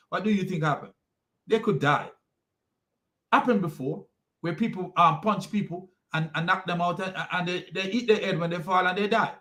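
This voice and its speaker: A man's voice: high-pitched, bright, forceful tone. A Jamaican man ranting in an online commentary video, mocking and scornful, rambling with many restarts. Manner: mocking and scornful, with repeated insults. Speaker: religious commentary video host.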